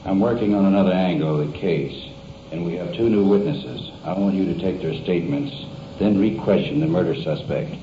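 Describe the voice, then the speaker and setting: A man's voice: deep voice, a little fast. An American man, a businesslike, clipped police lieutenant briefing a subordinate on a 1950s field recording. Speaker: police lieutenant giving orders. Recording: echoey, muffled 1950s field recording, faint noise.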